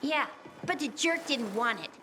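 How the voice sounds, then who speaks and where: A woman's voice: high-pitched, animated, normal speed, noisy background. A woman voicing a cheeky, streetwise girl of about ten in a video game, brash and slangy. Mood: cocky. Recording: faint music behind the voice.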